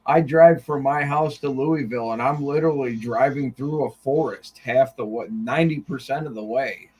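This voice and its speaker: A man's voice: medium pitch, moderate pace, a little mellow, slight background noise, poor audio. A man from Iowa in casual podcast chat, rambling and swearing, often starting with "I mean". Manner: profane, rambling, repeats "I mean".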